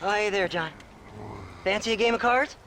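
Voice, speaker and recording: An actor playing a giddy, unhinged, creepily affectionate western oddball in a video game, crooning and mocking. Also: male voice, high voice, moderate pace, fairly bright tone. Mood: giddy, friendly. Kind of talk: dramatic video game cutscene acting. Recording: clear background noise under dialogue.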